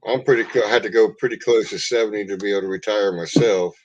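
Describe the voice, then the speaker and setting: A man's voice: fairly low pitch, steady tone, fast talker. A man in his fifties chatting casually, on a dull recording. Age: 50s. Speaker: beekeeper, livestream guest. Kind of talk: casual chat. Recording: dull lo-fi audio, background noise.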